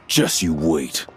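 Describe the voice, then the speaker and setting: A man's voice: a bass voice, creaky, husky, full character voice. A man playing a petty, resentful young punk in a video game, whining and sneering with indignation.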